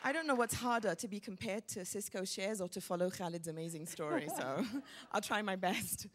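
A middle-aged woman from Egypt speaks to a live audience in a big hall. She talks into a handheld microphone with an animated, expressive storytelling manner and a pitch sitting in the middle of the range. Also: brisk, some chatter behind, slight background noise. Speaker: panelist telling a personal story. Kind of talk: storytelling on stage.

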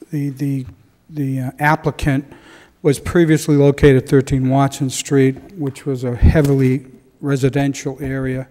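A man in his sixties giving halting, semi-formal testimony to a council at a podium microphone. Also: medium pitch, warm tone. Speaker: real estate broker addressing a council. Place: large council chamber. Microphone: gooseneck microphone on a podium. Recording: reverberant council chamber.

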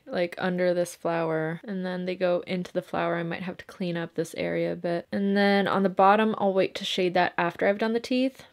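Chatty young woman narrating her makeup. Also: medium pitch. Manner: chatty, explains each step as she paints. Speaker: makeup artist.

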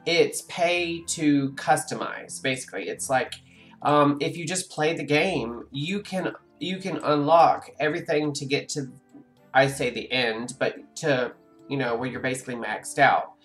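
A man's voice: medium pitch, unhurried, fairly bright tone, faint music behind. A man in his forties, congested, chatting casually on a live stream while playing a game, rambling with many ums and restarts. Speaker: gaming streamer. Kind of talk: casual live-stream chat over gameplay. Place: home room with a webcam.

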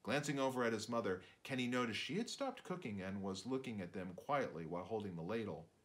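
A man in his fifties narrating a children's book aloud in an expressive, theatrical way. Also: on the low side, fairly quick. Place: indoors, book-lined room.

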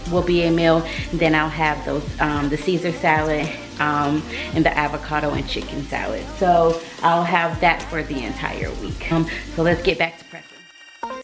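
Young American woman, low-pitched, casual. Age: young adult. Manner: informal, conversational. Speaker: vlogger, host. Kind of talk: casual cooking vlog talk. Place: home kitchen, indoors.

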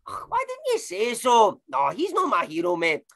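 A man's voice: very high-pitched, huge pitch swings, unhurried, a bit bright, exaggerated voice. A man with a Glaswegian accent playing a blunt, slangy working-class actor who swears through his banter in a comedy sketch. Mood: exasperated banter. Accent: Glaswegian Scottish. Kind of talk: comedy audio sketch acting.

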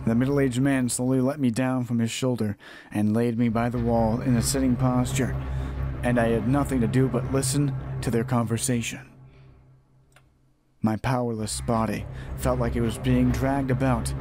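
A man's voice: mid-range voice, fairly even intonation, normal speed. A man reading a horror visual novel aloud as a let's player, often restarting and repeating phrases as he narrates. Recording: music behind the voice. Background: game music.

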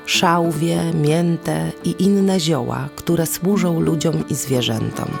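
A woman storyteller with a low voice and an unhurried, deliberate delivery, telling a traditional tale. There is some gravelly creak in her tone, and music plays underneath her narration. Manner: measured, deliberate phrasing with repetition for effect. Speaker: storyteller and host of a podcast series. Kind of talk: oral folk-tale storytelling, scripted narration. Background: instrumental music.

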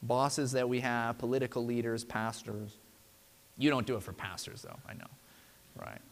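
A man's voice: mid-range voice, fairly even intonation, moderate pace, long reverb tail. A man in his thirties preaching a conversational sermon from a pulpit in a church sanctuary, often checking in with "right?". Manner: conversational, frequent tag "right?". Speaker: pastor.

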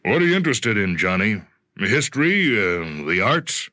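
A man acts out a senior corporate boss from an audio story, genial but evasive. He speaks in a hesitant, patronizing way with a bright, forceful edge, in a dry recording. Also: lowish voice, big pitch swings, normal speed, no room sound, voice pushed up. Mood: uneasy, genial.

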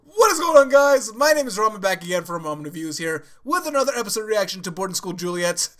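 A young man hosts his reaction video from a small bedroom, speaking into a headset. His voice sits high and bright, though a stuffy nose and sore throat muffle it. He rambles casually, laughing and restarting his sentences. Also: sing-song, fast. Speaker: anime reaction host. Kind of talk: casual chat to camera. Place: small bedroom with yellow walls.

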